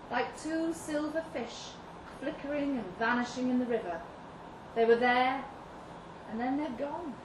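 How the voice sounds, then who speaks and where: Female story reader, higher pitch, measured literary delivery; roomy live recording with clear background noise. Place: live literary event venue in London. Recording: roomy, distant mic with audible noise.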